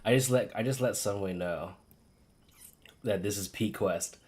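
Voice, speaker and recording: A woman live-streaming a game, reacting in an excitable, casual, foul-mouthed way. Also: extremely low pitch, a little slow, husky.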